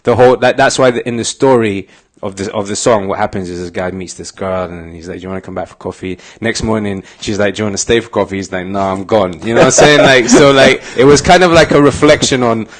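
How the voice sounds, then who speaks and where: A man's voice: on the low side, fast. A man in his thirties talking casually as a podcast guest, informal and full of conversational tags like "you know what I mean". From Glasgow and Oxford, UK. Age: 30s. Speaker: interview guest, musician and doctor.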